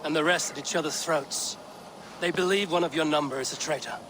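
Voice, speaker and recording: An actor playing a stern Viking warrior who questions others in a measured, direct way, in a video game. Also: male voice, on the high side, background noise, natural voice. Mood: stern, probing. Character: stern, probing Viking warrior acting as interrogator. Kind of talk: video game dramatic acting.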